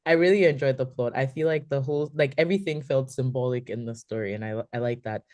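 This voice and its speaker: Woman, book club panelist, exceptionally low pitch, soft dark tone, informal delivery. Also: fast talker, no room sound, clean studio sound. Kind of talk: casual book discussion.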